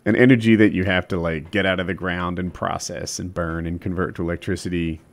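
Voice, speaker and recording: Man, low voice, loose rambling talk on a call, lots of "like" and cursing. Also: fairly even intonation, brisk. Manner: rambling, filler-heavy, swearing. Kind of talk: casual chat. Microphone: video call.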